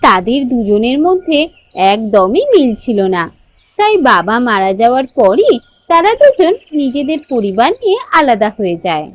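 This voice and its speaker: Lively high-pitched woman storyteller. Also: normal speed, no room sound. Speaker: narrator. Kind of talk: scripted storytelling narration for a children's fairy tale cartoon.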